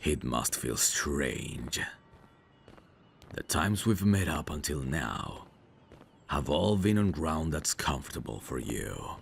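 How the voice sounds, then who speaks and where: Dangerous male mafia boss, played by a voice actor, ASMR audio drama: slow, lively intonation, self-assured teasing, protective streak. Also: a bass voice, creaky, a little hiss or hum, full character voice. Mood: teasing, probing.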